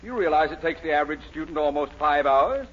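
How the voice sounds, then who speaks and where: A man's voice: on the high side, expressive, fast, a bit bright. An actor playing a stern, easily astonished male college dean in 1940s radio comedy style. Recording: noisy, muffled 1940s radio recording.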